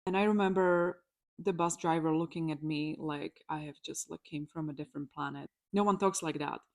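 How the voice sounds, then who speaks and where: A young woman with a Czech accent, speaking a little lower than most women. She tells her story in a chatty, candid way with fillers and small restarts, like a relaxed personal vlog. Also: normal speed. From Czech Republic. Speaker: electrologist.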